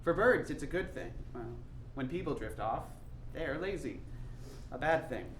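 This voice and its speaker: A man's voice: fairly high pitch, expressive, normal speed, natural voice. A man in a staged reading playing a dreamy, stubborn college-bound son, wistful and earnest, who drifts off into digressions. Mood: earnest wonder. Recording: echoey room, distant mic, faint noise.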